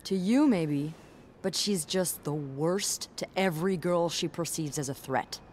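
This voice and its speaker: Sassy low-voiced receptionist. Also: female voice, animated, a little slow, darkish tone, a little hiss or hum. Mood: catty, exasperated. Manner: wry, sarcastic, blunt. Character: sassy, gossipy young woman hotel receptionist. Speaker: video game character. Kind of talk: natural conversational game acting.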